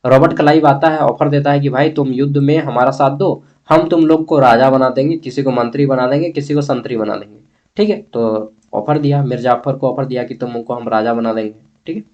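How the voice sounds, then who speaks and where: A man's voice: mid-range voice, steady tone, brisk, a little mellow, lo-fi sound. A man with an eastern Indian Hindi accent from the Bihar-Jharkhand belt teaches history informally, repeating points and answering his own questions. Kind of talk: informal exam-prep lesson, explaining a text.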